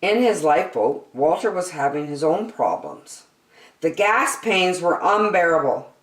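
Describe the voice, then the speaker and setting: Animated woman reading a storybook, echoey room. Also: lowish voice, unhurried. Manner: expressive, dramatic storytelling delivery. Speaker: story-time reader. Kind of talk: animated read-aloud of a children's picture book.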